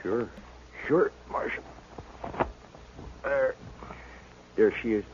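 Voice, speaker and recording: Ailing, weary frontier homesteader. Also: male voice, fairly low pitch, a little mellow. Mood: weary, resigned. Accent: rural American. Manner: halting, folksy. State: weak and in pain. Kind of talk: 1950s radio western acting. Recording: muffled, faintly noisy vintage radio recording.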